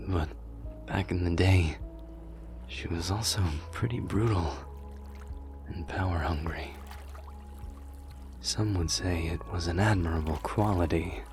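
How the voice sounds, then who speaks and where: A man playing a brooding, loyal former general of a beast folk in a pensive ASMR roleplay monologue, often dropping to a breathy murmur. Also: sits low. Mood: reflective, somber. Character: brooding male wolf warrior, former general, troubled and loyal.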